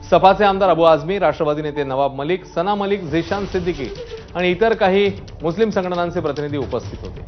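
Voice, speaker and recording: A man from reading scripted TV news in an emphatic, dramatic way. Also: fairly high pitch. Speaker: news anchor. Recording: dull broadcast audio with music underneath.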